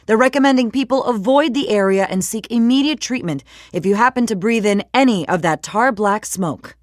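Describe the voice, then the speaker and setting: An actress playing a polished, brisk woman news reporter, reading scripted bulletins in a measured, slightly wry newsreader delivery for a game. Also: a little high, dry recording, clean studio sound, natural voice. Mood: serious, urgent.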